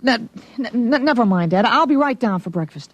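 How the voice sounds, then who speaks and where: A performer playing a dazed thirteen-year-old boy with a high, halting voice in a radio drama. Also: lively intonation, lo-fi sound, very noisy, lightly acted. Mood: hesitant, subdued. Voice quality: high, husky young voice. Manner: halting, dazed, repeating himself.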